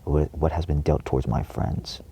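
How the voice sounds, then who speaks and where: A man giving an impassioned, indignant monologue to viewers, emphatic and repetitive, with a gravelly, husky voice. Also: rumbling low, fairly even intonation, normal speed, warm tone, a little hiss or hum. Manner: frequent swearing. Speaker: video creator addressing viewers.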